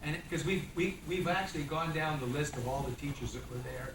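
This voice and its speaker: A man's voice: fairly high pitch, little pitch movement, brisk, distant mic, noisy background. Man moderating a panel in an echoey hall. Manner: lecturing, prompting panelists with questions. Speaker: forum moderator and historian.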